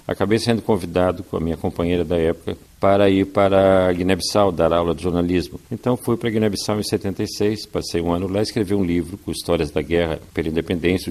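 Older man with a very low voice. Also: a little mellow. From Brazil. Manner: measured, storytelling, tags sentences with "né". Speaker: guest, writer and filmmaker. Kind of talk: reflective interview answers.

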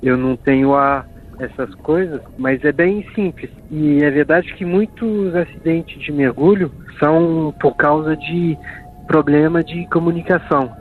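A man's voice: a little high, measured pace, slight background noise. A man from France explaining things in an interview, searching for words as he goes. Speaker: interviewee, diver and project developer. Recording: narrow phone-like line, music behind.

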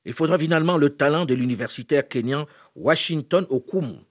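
A man hosting a history radio program, reading scripted documentary narration in a measured, solemn way. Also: a little high, no room sound, studio quality.

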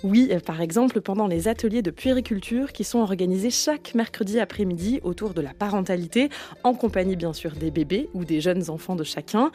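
A woman's voice: mid-range voice, brisk, mellow. A woman radio reporter explaining what she saw in a prepared report.

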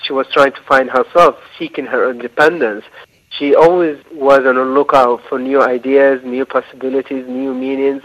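A man's voice: medium pitch, fairly even intonation. Thoughtful man, muffled line. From Eritrea. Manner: thoughtful, halting, with restarts and fillers. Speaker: novelist guest. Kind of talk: reflective interview answers.

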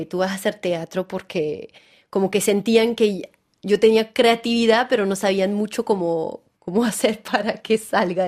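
A French woman in her thirties, an actress being interviewed on the radio, speaking Spanish with a Venezuelan-tinged accent in a chatty, relaxed way. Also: medium pitch. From France. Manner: chatty, relaxed, often laughing, full of "digamos" and "como que". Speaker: actress and theater director, guest. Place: radio studio.

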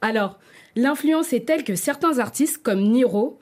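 Guest journalist, woman, playful and lively, laughs often, walks through a prepared piece. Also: a little high, moderate pace. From not Congolese. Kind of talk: presenting a prepared segment.

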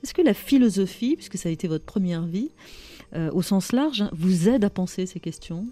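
A woman hosting a literary interview, asking measured, probing questions. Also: medium pitch, animated, mellow. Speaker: radio interviewer.

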